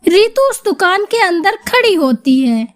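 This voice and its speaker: A woman's voice: very high-pitched, very animated, measured pace. A woman narrating a scripted story as its storyteller.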